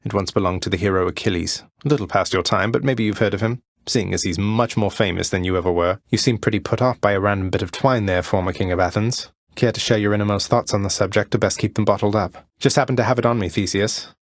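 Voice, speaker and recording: An actor playing a wry young prince of the underworld in a video game, courteous with a dry, understated sarcasm. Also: male voice, lowish voice, quick pace, few pauses, no room sound. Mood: dry, taunting. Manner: dry, understated, politely sardonic. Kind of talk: video game character voice lines.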